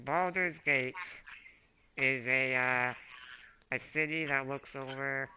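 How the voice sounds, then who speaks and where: Hoarse, slow-talking man running a game. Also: mid-range voice, fairly even intonation. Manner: unhurried, hesitant with fillers. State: hoarse from a throat infection. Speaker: dungeon master. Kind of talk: running a tabletop game, casual. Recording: muffled home recording with background noise.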